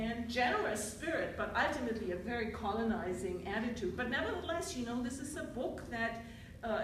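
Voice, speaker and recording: A woman's voice: a little high, slight background noise. A woman with a German accent, a native German speaker, talking as an academic panelist at a roundtable, earnest and lecturing as she thinks aloud and corrects herself. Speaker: academic panelist, biblical scholar. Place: university seminar room. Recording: very echoey room from far off.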